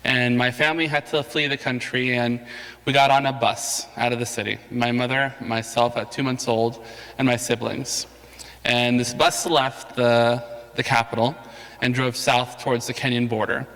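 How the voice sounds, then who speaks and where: A man's voice: mid-range voice, little pitch movement, normal speed, fairly bright tone, clean tone. Man speaking in a reverberant hall. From Somalia. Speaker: campaign surrogate speaker. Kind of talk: personal story at a public forum.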